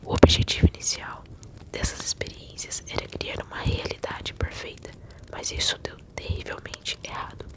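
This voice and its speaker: A woman with a Brazilian accent whispers a story in breathy, soothing ASMR style, speaking directly to the listener. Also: normal speed.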